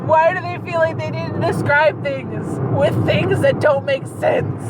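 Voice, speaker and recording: A man's voice: steady tone, a little slow. A man reading a story aloud and breaking in with incredulous, profane, casual commentary. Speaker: host reading bad fan fiction aloud. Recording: very noisy, distant mic, outdoor-like rumble.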